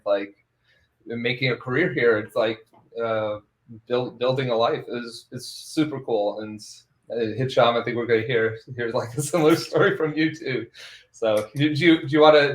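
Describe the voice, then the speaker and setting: A man's voice: medium pitch, a little fast. A man hosting a casual tech podcast, rambling and laughing often between phrases. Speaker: podcast host. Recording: roomy echo.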